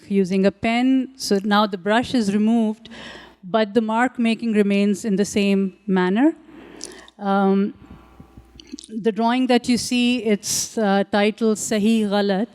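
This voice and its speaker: A woman artist whose first language is Urdu, speaking reflectively on a panel in a large auditorium, pausing often with fillers and restarting sentences. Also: mid-range voice, a little mellow, clean tone. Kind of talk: panel talk about her art.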